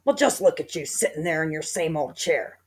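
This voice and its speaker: A woman's voice: low voice, lively intonation, brisk, a little mellow. A woman voicing a nasty old man who sneers and taunts his wife. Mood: mock-solemn taunting. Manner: sneering, teasing.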